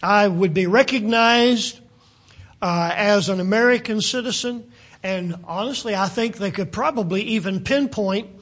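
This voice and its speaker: An older man from West Virginia with a West Virginian accent, preaching a sermon in a halting way with many fillers and restarts. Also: high voice, fairly bright tone. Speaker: pastor.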